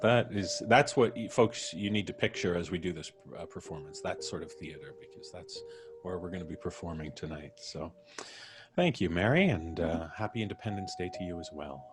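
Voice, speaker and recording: A man with a fairly low voice acts as host, speaking casually and with playful wryness. Music plays clearly behind his introductions and banter. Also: brisk. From Canada. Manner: jovial, playfully wry.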